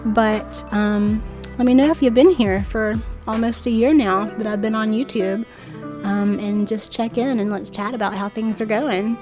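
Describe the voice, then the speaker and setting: A woman's voice: medium pitch, steady tone, normal speed, over music. A woman in her thirties with a stuffy, congested voice, chatting to viewers in a friendly home decor voice-over. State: stuffy nose from a cold. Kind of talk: voice-over narration. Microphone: voice-over mic.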